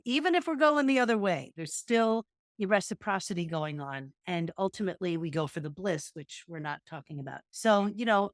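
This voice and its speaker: A woman's voice: fairly low pitch, no echo, studio quality. A woman co-hosting a casual podcast, musing in a meandering way and guiding yoga movements. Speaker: podcast co-host, yoga teacher. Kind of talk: casual reflective conversation.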